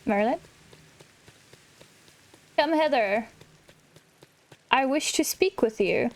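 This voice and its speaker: A woman hosting a gaming video, chatting casually and slowly as she reacts to the game aloud. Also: fairly high pitch, animated, rough recording. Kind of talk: casual let's play commentary with dialogue read aloud.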